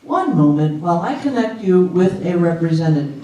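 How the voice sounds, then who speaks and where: A man playing a polite, unyielding automated phone system in a comic readers theater, reciting prompts in a formal, measured way. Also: high voice, big pitch swings, mellow. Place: live readers theater stage with audience. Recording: echoey hall, distant mic, audience noise.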